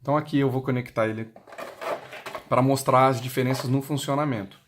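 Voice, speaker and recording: A man's voice: medium pitch, steady tone, a little fast. Brazilian man demonstrating, noisy recording. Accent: Brazilian Portuguese. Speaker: intercom seller giving a product demonstration. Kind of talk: unscripted explanatory tutorial, demonstrating step by step. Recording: clearly noisy recording with clicks.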